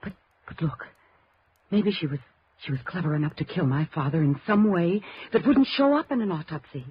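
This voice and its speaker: An American woman playing an anxious, insistent daughter in a 1950s radio drama, halting, breathy and pleading. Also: on the low side, lively intonation. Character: frightened, insistent daughter suspecting her stepmother of murder. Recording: muffled vintage radio recording.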